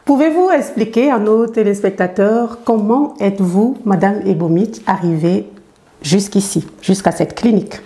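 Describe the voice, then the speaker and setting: A woman hosting a TV interview program, speaking in a formal, deliberate presenter's manner. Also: mid-range voice, dark tone. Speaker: TV show host interviewing a guest. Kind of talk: formal scripted introduction, then interview questions.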